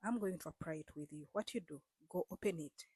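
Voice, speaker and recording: Husky woman coaxing viewers earnestly. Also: fairly low pitch, a little fast, a little mellow, no echo, studio quality. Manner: earnest, repetitive, coaxing viewers with frequent fillers. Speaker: vlogger. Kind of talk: casual direct-to-camera explainer.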